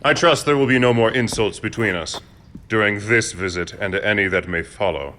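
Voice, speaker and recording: An actor playing a cold, commanding aristocratic count in an audio drama, speaking in a formal, measured and imperious way. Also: male voice, fairly low pitch, a little hiss or hum. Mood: quietly menacing. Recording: long reverb, loud music behind.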